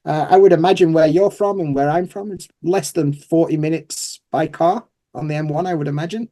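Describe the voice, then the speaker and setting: A man's voice: fairly high pitch, fairly quick, warm tone. A man with a Yorkshire accent, chatting informally and self-deprecatingly as a guest in a casual interview. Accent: Yorkshire English. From Yorkshire, England. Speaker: guest, English teacher.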